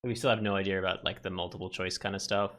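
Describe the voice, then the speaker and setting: Male let's play host, lowish and bright, rambling casual commentary. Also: fairly even intonation, fast talker. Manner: rambling, thinks aloud.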